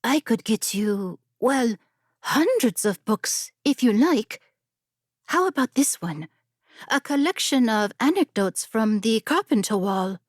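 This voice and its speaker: A woman voicing a quick-witted female scholar bargaining earnestly and persuasively in a fantasy audiobook. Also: a little high, expressive, no room sound, studio quality. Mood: eager salesmanship. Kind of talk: fantasy audiobook character acting.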